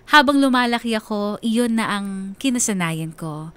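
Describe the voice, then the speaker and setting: A woman's voice: mid-range voice, expressive, normal speed, a bit bright, smooth. A woman with a Filipino accent narrating a scripted radio drama in a storytelling style.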